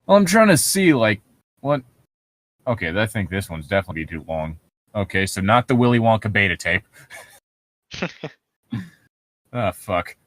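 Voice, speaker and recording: A man's voice: fairly low pitch, sing-song, normal speed, pauses often, a bit bright, dry recording. A man co-hosting a casual reading of internet horror stories, joking and swearing as he goes. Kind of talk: casual banter and reading aloud.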